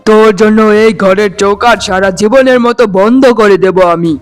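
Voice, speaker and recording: A man acts out a rich cartoon husband with a notably high voice for a man. His delivery is melodramatic and overwrought, repeating words for weight. Soft music runs underneath. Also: moderate pace, smooth, natural voice. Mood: scornful, threatening. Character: greedy, arrogant rich husband and younger brother. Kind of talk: melodramatic Bengali cartoon dialogue.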